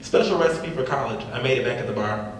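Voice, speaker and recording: A man's voice: quick pace, close to an everyday voice. A man acting a cheeky, casual young guy in a dramatic script reading. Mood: breezy. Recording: echoey hall, far from mic, rumble.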